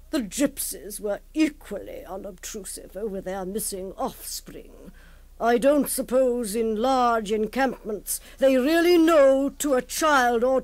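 An actress playing an imperious, unflappable aristocratic baroness in a radio drama, haughty and drily exasperated. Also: female voice, fairly high pitch, darkish tone. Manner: haughty, brisk commands, dry understatement. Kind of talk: radio drama acting and wry first-person narration.

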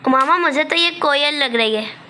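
A woman's voice: high voice, moderate pace, pushed and bright, faint music behind. A young woman narrating a vlog as a voice-over, on a noisy amateur phone recording. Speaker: vlogger.

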